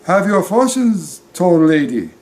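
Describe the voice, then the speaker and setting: A man reading a storybook, voicing a blunt, mysterious female fortune teller with dramatic, drawn-out phrasing. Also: high voice, sing-song, darkish tone, voice pushed up, full character voice. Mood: probing, coaxing.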